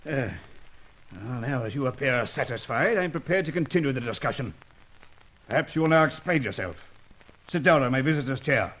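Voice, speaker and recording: A man's voice: medium pitch, animated, fairly quick, fairly bright tone, poor audio. An actor playing an irritable, self-important older British businessman in an old-time radio drama, indignant and spluttering. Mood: grudging, evasive. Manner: indignant, spluttering, hesitant starts.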